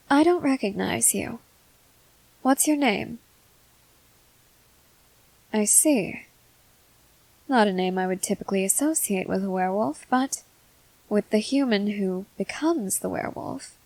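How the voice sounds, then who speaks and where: A woman playing a composed, inquisitive young female soldier in an ASMR roleplay, speaking formally in measured, deliberate phrases. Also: medium pitch, darkish tone, low-quality recording. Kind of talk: scripted ASMR roleplay, acted to the listener.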